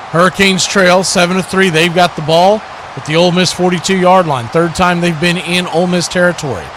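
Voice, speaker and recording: A man doing live play-by-play football commentary for a stream, in clipped phrases that grow excited on big plays. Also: high-pitched, background noise.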